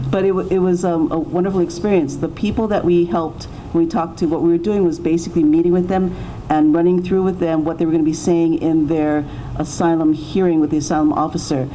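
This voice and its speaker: A middle-aged woman with a low-pitched, mellow voice talks informally as a panelist at a public forum. She speaks haltingly and circles back to restart her phrases. There is some faint noise behind her. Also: rough recording. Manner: halting, self-correcting, with many repeated starts. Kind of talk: informal unscripted public talk.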